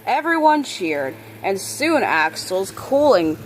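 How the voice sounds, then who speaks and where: A woman reading a children's book aloud, expressive on characters' lines and sometimes stumbling and correcting herself. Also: medium pitch, a little hiss or hum, low-quality recording. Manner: halting reader, stumbles and corrects words. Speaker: storybook reader.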